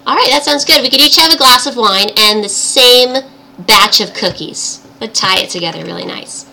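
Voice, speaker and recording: Woman, tiny princess-like voice, high and bright, cheerful, giggly, chatty livestream host, faint background noise. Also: moderate pace, gravelly, poor audio. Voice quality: tiny, girlish, cartoon-princess-like voice. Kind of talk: casual livestream chat.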